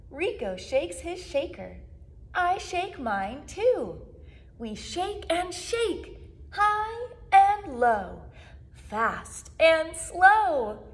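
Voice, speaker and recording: A woman in her twenties, a children's music educator, reading a story aloud to young children with animated, sing-song delivery and drawn-out words. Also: high-pitched. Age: 20s. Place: small plain indoor room, seated.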